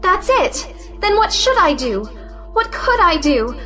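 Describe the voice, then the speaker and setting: A woman's voice: extremely high pitch, very animated, slow pace, bright, forceful tone, faint music behind, heavily acted. A woman playing a frank, defiant young unmarried lady, earnest and plaintive, in a theatrical audio play. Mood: despairing. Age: young adult. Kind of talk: theatrical audio drama acting of a 1917 play.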